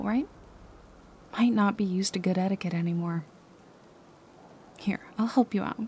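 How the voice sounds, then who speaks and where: A woman's voice: medium pitch, a little mellow, a little hiss or hum. A woman playing a sarcastic female private detective in a noir ASMR roleplay, with a dry, casually streetwise delivery. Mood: teasing, wry. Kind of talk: noir ASMR audio roleplay, intimate second-person acting. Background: music, rain and city ambience.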